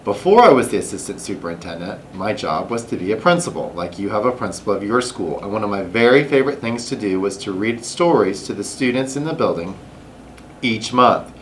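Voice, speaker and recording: A middle-aged man, a school administrator, reading a picture book aloud to children in an animated, expressive way. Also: fairly low pitch, brisk, slight background noise.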